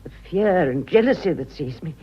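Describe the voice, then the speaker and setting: Vain aging british lady in a radio play, deep n husky, big dramatic swoops, confiding, self-pitying. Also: lively intonation, warm tone, dull audio. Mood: confiding, pained. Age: older. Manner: dramatic, confiding, halting for effect. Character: ageing woman, vain, theatrical, self-pitying romantic confider.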